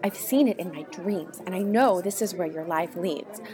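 A woman's voice: on the low side, moderate pace, warm tone, natural voice. A woman playing a naive, devoted young sweetheart with earnest melodrama in a satirical Christmas radio play. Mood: earnest, helpless.

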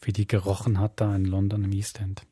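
A man's voice: lowish voice, monotone, fairly quick, dark tone, breathy edge, dry recording. A man hosting a podcast, explaining things in a casual, conversational way. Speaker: podcast host. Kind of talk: casual explanatory chat about a radio play.

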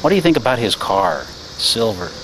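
A man's voice: fairly low pitch, very animated, brisk, a bit bright, a little hiss or hum, rough recording. An older man interviewing outdoors with a handheld mic, asking blunt personal questions in a casual way. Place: outdoors against a garage door.

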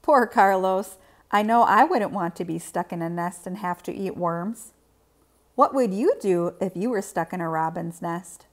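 A woman reading a children's picture book aloud in an expressive, simple storytelling manner. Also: lowish voice, a little slow. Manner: clear, simple, expressive delivery for young children.

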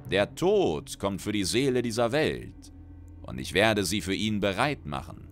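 A man's voice: lowish voice, sing-song, a little slow, slight background noise. Undead female necromancer, played by a male narrator: grandiose, sinister, hollow delivery, storybook villainy. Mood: ominous prophecy. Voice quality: hollow-sounding.

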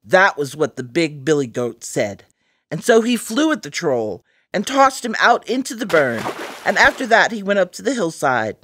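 A woman narrating a children's fairy tale for story time, with expressive, dramatic delivery. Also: on the low side, normal speed, breathy edge.

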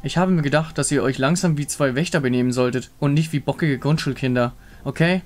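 A man's voice: medium pitch, natural voice. Man voicing a firm, commanding female squad leader. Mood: stern. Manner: brisk, authoritative. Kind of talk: fan voice-over of a game story.

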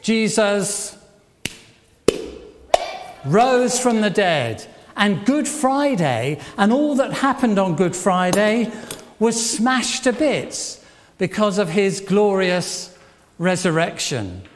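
Slow, high-pitched older man in echoey church. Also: sing-song. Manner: questioning, repeats and affirms answers. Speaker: church leader at an all-age service. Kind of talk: interactive all-age church talk. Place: church hall, addressing a congregation. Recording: echoey church hall, faint background noise.

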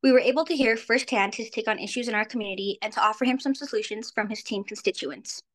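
A seventeen-year-old girl from New Mexico reads a prepared speech over a video call. Her voice sits a little high and she moves through the text quickly. She sounds earnest throughout. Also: a bit bright. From New Mexico, USA. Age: teen, 17. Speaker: youth speaker. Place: indoors, virtual background. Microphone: video call webcam.

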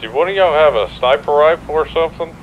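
American man, medium pitch, muffled lo-fi voice chat, casual gaming radio talk, faint noise. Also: quick pace. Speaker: player in online police roleplay. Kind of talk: casual in-game radio chatter. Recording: dull lo-fi voice chat, some noise.

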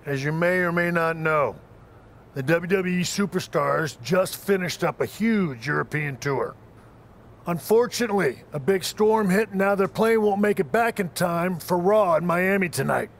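Brisk head trainer in a game, gravelly, a bit high. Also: male voice, slight background noise. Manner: brisk, matter-of-fact.

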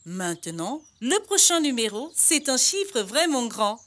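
A woman's voice: a little high, very animated, measured pace, fairly bright tone, slight background noise. A woman narrating a children's educational cartoon, telling the story expressively and simply, like a teacher. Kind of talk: scripted storytelling narration for preschoolers.

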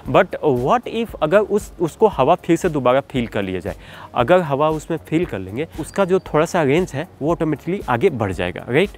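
A man's voice: on the high side, sing-song, moderate pace, a little mellow, a little hiss or hum. A man in a casual vlog, explaining car tips in a conversational way, often dropping in English fillers like "you know" and "okay". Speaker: car vlogger.

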